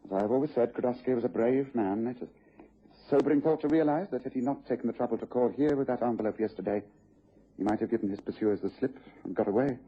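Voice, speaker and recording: An actor playing a brisk, self-assured English gentleman detective in a radio drama, with precise, authoritative delivery. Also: medium pitch, close to an everyday voice. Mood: grave. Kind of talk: BBC radio drama acting. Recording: dull, noisy vintage radio recording.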